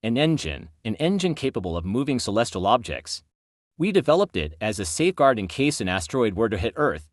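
A man playing a proud captive tech founder under questioning, deliberate and self-important, in an amateur audio drama. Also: fairly low pitch, sing-song, dry recording, clean studio sound. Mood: defiant. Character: proud captive male technology company founder.

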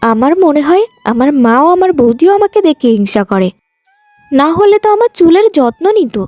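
A woman's voice: high-pitched, big pitch swings, normal speed, a little mellow, no echo, soft background music. A woman voicing a vain, haughty, petulant young village woman in a cartoon moral tale. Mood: petulant, resentful. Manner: boastful, petulant, complaining.

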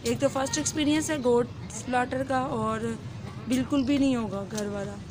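A young woman speaks in a hoarse, fairly high voice with a flat, even delivery, chatting straight to her viewers. She films on a phone held at arm's length in an outdoor courtyard. Clear background noise sits under her voice. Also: normal speed. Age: young adult. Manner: chatty, addresses viewers directly, mixes in English words. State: hoarse throat. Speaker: vlogger. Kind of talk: casual vlog chat to camera. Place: outdoors in a rural courtyard with goats. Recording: noisy outdoor phone recording.

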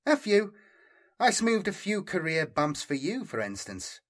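A man's voice: high-pitched, sing-song, measured pace, fairly bright tone, pitched above the performer's own voice, full character voice. A man voicing a sly, amused, casually corrupt bureaucrat in an audiobook, teasing and unabashed. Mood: goading.

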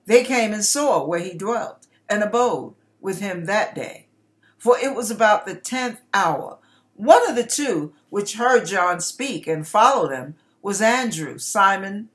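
Woman, 60s, unhurried and emphatic scripture reader, mid-pitched, theatrical delivery. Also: a bit bright. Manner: deliberate, emphatic, acts out the quoted dialogue. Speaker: online ministry host reading scripture aloud. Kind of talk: expressive, dramatic Bible reading in King James English. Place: small curtained room indoors. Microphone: webcam at desk distance.

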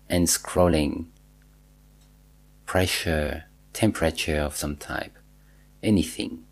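A man guiding a body scan meditation, giving measured instructions in short phrases with pauses and repetition. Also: deep voice, darkish tone. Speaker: meditation teacher.

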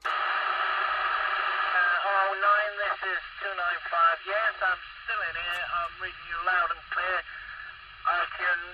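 A man's voice: high voice, little pitch movement, takes it slowly, pauses often. A man in a casual CB radio exchange, hesitant and using radio procedure, heard through a transmission. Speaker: CB radio caller. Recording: very noisy, dull, distant CB radio.

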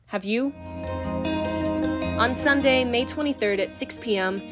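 A woman announcer giving earnest, scripted promotional narration for an event. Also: mid-range voice. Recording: music behind the voice. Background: music.

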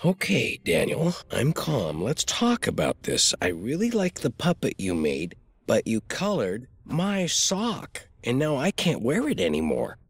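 A gentle, patient cartoon father with a hugely expressive, swooping delivery. He talks slowly and soothingly, in simple words for a small child, with a slightly husky tone. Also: mid-range voice, no echo, full character voice. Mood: calm, explaining. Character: gentle, patient cartoon tiger father, teaching his young son.

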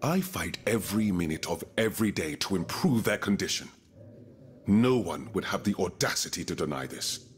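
Deep-voiced, dignified colonial gentleman. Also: big pitch swings, normal speed. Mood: indignant, proud. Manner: formal, eloquent, grave. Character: dignified wealthy colonial planter and earnest reformer, proud. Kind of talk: game cutscene voice acting, period drama.

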